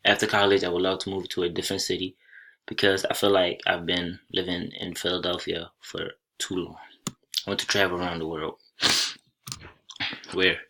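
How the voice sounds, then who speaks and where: A young man from Senegal whose first language is Soninke, giving a college class presentation over slides in a plain, unhurried way with small restarts. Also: low-pitched, little pitch movement, sharp tone. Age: young adult. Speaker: college student.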